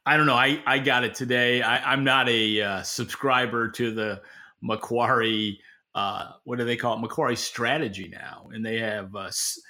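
Man, bright forceful voice, average pitch, podcast co-host, opinionated commentary, conversational, wry and dry-humored. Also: fairly even intonation, moderate pace. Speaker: podcast co-host and market analyst.

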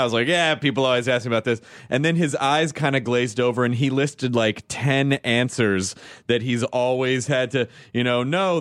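A man hosting a podcast in casual chat, joking with quick asides and casual swearing. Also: medium pitch, a bit bright, dry recording. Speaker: podcast host.

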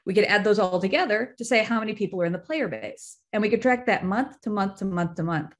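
A woman's voice: medium pitch, quick pace. A woman guest on a podcast interview, explaining things methodically and in detail, step by step.

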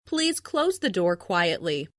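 A woman reading short phrases for language learners, repeating each one as a clear model. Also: fairly low pitch, big pitch swings, slow pace, no echo, clean studio sound. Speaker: language lesson speaker.

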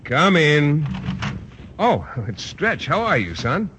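An actor playing a shy, stiffly formal school coach in an old radio comedy. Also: male voice, fairly high pitch, very animated, slow. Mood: earnest. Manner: stiff and bashful, formal wording. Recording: very noisy old radio broadcast.

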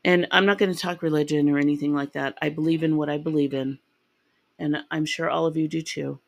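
A woman hosting a true-crime podcast, her voice raspy from a sinus infection, chatty and rambling with ums and restarts. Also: deep voice, steady tone, brisk, dry recording. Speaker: podcast host.

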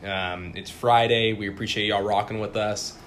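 A man's voice: on the low side, steady tone, moderate pace, fairly bright tone, a little hiss or hum. Brash, slangy podcast co-host man. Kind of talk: casual podcast chat.

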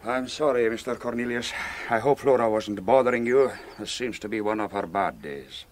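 Actor as a solemn Scottish island minister. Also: male voice, medium pitch, moderate pace, close to an everyday voice. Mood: grave, pious. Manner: formal, solemn, courteous. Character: pious, patronising Scottish island minister, male. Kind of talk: radio drama acting.

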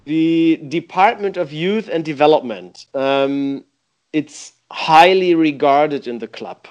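A man, a youth football coach, answering interview questions in halting English with many pauses. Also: on the high side, darkish tone, clear, resonant tone, highs cut off.

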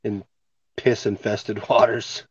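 A man's voice: on the low side, level tone, takes it slowly, husky, dry recording, dull audio. A man hosting a casual podcast from home with a stuffy nose, laid-back and swearing casually. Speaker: podcast host. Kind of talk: casual chat. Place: home, recording remotely. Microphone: remote home mic.

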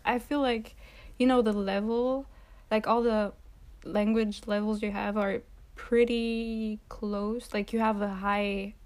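A young woman from Rwanda whose first language is French, chatting casually with a friend in a relaxed, soft-spoken way. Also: mid-range voice, takes it slowly. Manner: relaxed, soft-spoken, lots of encouraging "mm-hmm". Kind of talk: casual chat between friends.

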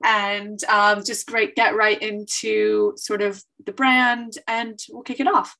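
A woman's voice: medium pitch, little pitch movement, takes it slowly, vocal fry, pushed and bright, low-quality recording. A woman co-hosting a podcast who asks loose, rambling questions full of fillers. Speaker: podcast co-host. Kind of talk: casual podcast chat.

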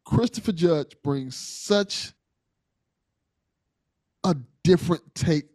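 Higher-pitched male podcast host, chatting earnestly. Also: lively intonation, takes it slowly, darkish tone, no echo. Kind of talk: casual conversation.

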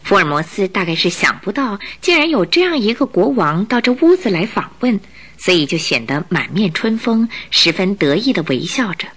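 A woman narrating a radio drama in an expressive, scripted storytelling style. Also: mid-range voice, normal speed, breathy edge.

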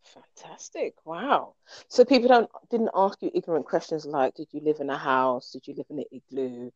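A woman's voice: low voice, normal speed, a little mellow, no echo, lo-fi sound. A woman conducting a casual, informal interview, asking questions in a conversational way.

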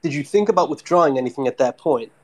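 A man's voice: fairly high pitch, lively intonation, fast talker, darkish tone. A man co-hosting a casual live chat show, talking informally and asking questions. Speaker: co-host.

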